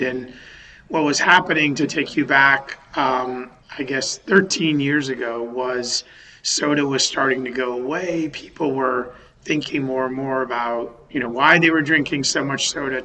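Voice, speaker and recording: A man from Western Massachusetts, a company founder, talking over a video call in a thoughtful, halting way with many restarts. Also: medium pitch, bright, forceful tone, low-quality recording. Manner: thoughtful, restarts sentences, many um and you know. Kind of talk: remote podcast interview. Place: home or office in a city.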